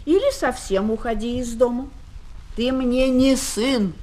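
A woman playing a firm, principled mother in a children's audio drama, speaking in an insistent, expressive way. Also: on the high side, unhurried, natural voice. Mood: firm, reproachful. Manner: expressive, insistent, repeating words. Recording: noisy old 1970s flexi-disc recording.

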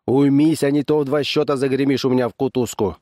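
A man's voice: mid-range voice, fairly even intonation, fast talker, dark tone, no echo, studio quality, voice pushed up, lightly acted. A man voicing a stern, exasperated small-town constable who barks orders in an audiobook story. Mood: stern warning. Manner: barking, stern, weary of troublemakers. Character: gruff, exasperated small-town male constable laying down the law.

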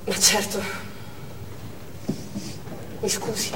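A woman's voice: mid-range voice, level tone, very slow, recorded from a distance. A woman acting a shaken female doctor who witnesses a siege, in a theatrical stage monologue of short, tense phrases. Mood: hushed, tense. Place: theatre stage, picked up from a distance. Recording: echoey theatre hall from afar, faint noise.

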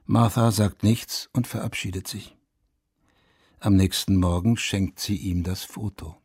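Radio drama narrator: haunted veteran writer, forties, male, low and gravelly, intimate storytelling. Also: fairly even intonation, measured pace, darkish tone, no echo, full character voice. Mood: reflective, haunted. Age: middle-aged. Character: reflective Vietnam veteran turned writer, haunted, in his forties. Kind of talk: German radio drama first-person narration. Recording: studio radio drama over guitar music.

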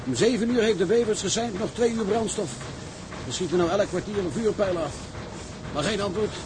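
Man playing a ship officer, sober and factual, highish voice, loads of noise behind. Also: a little fast, close to an everyday voice. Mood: grave. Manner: matter-of-fact, grave. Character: sober, weary ship's officer reporting at sea. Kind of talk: dramatic screen acting. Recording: very noisy shipboard drama soundtrack.

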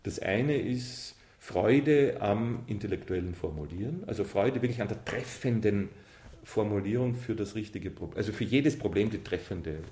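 A man's voice: on the low side, lively intonation, normal speed, mellow. A man giving a reflective interview answer about literature, correcting himself as he searches for words. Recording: roomy, echoing sound.

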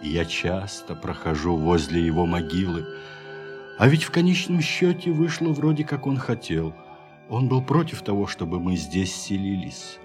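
An actor playing a frail, sentimental ageing settler on Mars in a radio play, with halting, sighing, emotional speech. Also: male voice, low voice, close to an everyday voice. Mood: wistful. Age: older. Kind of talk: Russian radio play acting. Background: music.

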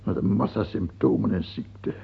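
An actor playing a morbid, fearful king in a radio play, brooding and theatrical, with a husky, gravelly voice. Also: fairly low pitch, moderate pace, heavily acted. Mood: morbid, uneasy. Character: morbid, fearful French king haunted by death. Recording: muffled narrow-band old radio recording.

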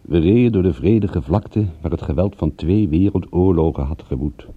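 An actor playing a wry, world-weary private detective in a radio drama, sardonic, with a gravelly, husky voice. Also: male voice, deep voice, moderate pace, no echo. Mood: brooding. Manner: sardonic, dry-witted. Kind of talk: radio drama narration and dialogue. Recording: muffled, phone-like old radio recording.

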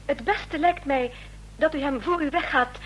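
A woman's voice: sits high, moderate pace, a bit bright, poor audio. An actress playing a devoted, anxious woman in a radio drama, speaking earnestly and with emotion. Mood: insistent, hopeful. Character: devoted, anxious woman in love, quick-witted. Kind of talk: Dutch radio drama acting. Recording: faint noise and hum, old radio recording.

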